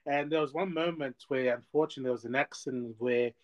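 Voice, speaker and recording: A man hosting a casual podcast interview. His voice is at an ordinary pitch for a man, and he talks in a lively, chatty way, repeating "amen" and stumbling through fillers and restarts. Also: fast, dull audio. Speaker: podcast host.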